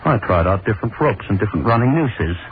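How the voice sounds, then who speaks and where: A man's voice: fairly low pitch, lively intonation, fast talker, lightly acted. An actor playing an obsessive, cruel hangman who gloats and broods over his work, in a 1930s radio drama monologue. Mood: brooding. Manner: gloating, brooding, lingers on words. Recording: scratchy tinny 1930s radio broadcast.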